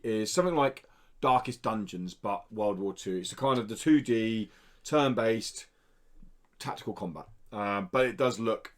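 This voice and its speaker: A man hosting a podcast in a studio, reading out indie game news in an informal, explanatory way. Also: mid-range voice. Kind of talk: casual podcast news chat. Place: indoor studio.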